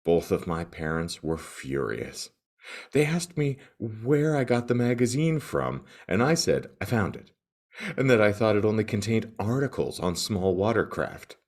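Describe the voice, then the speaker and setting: A man hosting a podcast, reading comic text aloud in a mock-serious, theatrical and deliberate deadpan. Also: on the low side. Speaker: podcast host.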